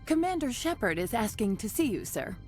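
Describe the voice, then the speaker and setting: An actress playing a polite, discreet corporate secretary in a video game, speaking formally. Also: female voice, on the high side, sing-song, a little fast, soft background music. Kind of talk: video game cutscene acting.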